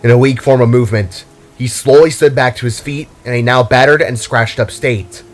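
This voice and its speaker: A man's voice: mid-range voice, steady tone, normal speed. A man reading scripted narration for an action story in a dramatic storyteller's style. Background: music.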